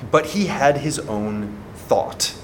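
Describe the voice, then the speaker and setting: A man's voice: mid-range voice, expressive, slow, noisy background. A man hosting an academic podcast, asking questions with chatty, joking asides. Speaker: podcast host. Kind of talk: academic interview.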